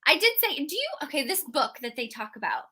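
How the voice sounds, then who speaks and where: A woman's voice: high-pitched, sing-song, quick pace, sharp tone. A woman co-hosting a live book discussion, talking casually and rambling with many fillers and restarts. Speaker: book club co-host.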